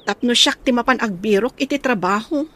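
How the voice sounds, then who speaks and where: A woman's voice: fairly high pitch, a little fast, pushed and bright. An actress playing a fed-up, complaining young mother and wife in an Ilocano radio drama. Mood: frustrated. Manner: exasperated, complaining. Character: fed-up young mother, nagging her jobless husband.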